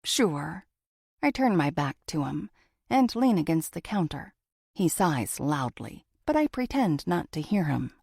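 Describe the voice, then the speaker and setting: A woman reading a first-person thriller audiobook in a dramatic, expressive storytelling manner. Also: low voice, creaky, husky, no room sound, clean studio sound. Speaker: audiobook narrator.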